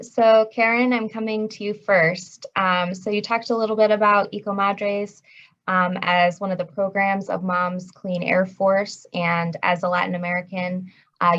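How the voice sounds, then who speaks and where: A woman moderating a panel, putting questions to a guest in an even, conversational way. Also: fairly low pitch. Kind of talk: interview questioning. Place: virtual panel over video call. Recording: dull lo-fi video call audio.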